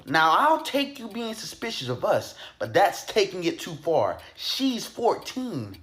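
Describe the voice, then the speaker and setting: A man's voice: sits high, huge pitch swings, a little slow, fairly bright tone, full character voice. A man playing an indignant, defensive father under suspicion, speaking emphatically in a puppet-show murder mystery.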